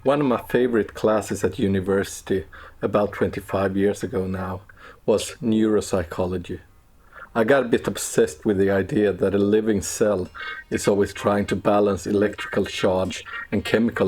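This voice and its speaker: A man in his forties narrating a scripted technical walkthrough in a measured, dry, understated way. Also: on the low side, darkish tone. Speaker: plugin developer presenting walkthrough.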